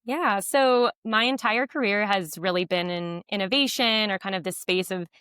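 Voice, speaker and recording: Podcast guest, woman, low voice but bright and crisp, dry close mic. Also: moderate pace, dry recording. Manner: fluent, explanatory, with frequent fillers. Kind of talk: podcast interview.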